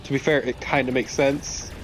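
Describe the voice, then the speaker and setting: A man's voice: mid-range voice, level tone, moderate pace, faint music behind. A man co-hosting a let's play with casual, joking, sweary banter. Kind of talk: casual banter over gameplay. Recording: noisy gameplay background. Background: video game sound.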